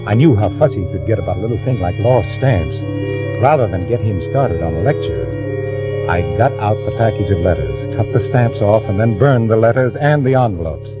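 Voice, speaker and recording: An actor playing a meek, guilty office employee in 1940s radio drama, confiding as he narrates and halting, deferential when he speaks to others. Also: on the low side, lively intonation, lightly acted. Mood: calculating. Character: meek male office employee, secretive, guilty, nervously deceitful. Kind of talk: 1940s radio acting, first-person narration and dialogue. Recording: vintage radio recording, muffled, music bed.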